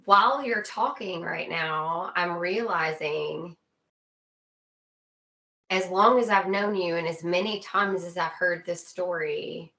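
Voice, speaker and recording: A woman's voice: fairly low pitch, slow, gravelly, pushed and bright, low-quality recording. A woman chatting casually as a podcast guest, empathetic and emphatic. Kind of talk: casual chat.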